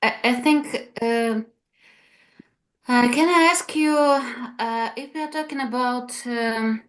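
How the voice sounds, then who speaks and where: A woman's voice: on the high side, takes it slowly, fairly bright tone, rough recording. A woman taking part in a webinar asks a hesitant question over a video call, with many fillers. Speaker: webinar participant.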